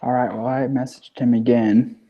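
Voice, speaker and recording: A man's voice: mid-range voice, little pitch movement, moderate pace. A young man in a student group video call, giving brief, easygoing replies. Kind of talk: casual video call meeting. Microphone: computer mic on a video call. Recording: dull lo-fi call audio.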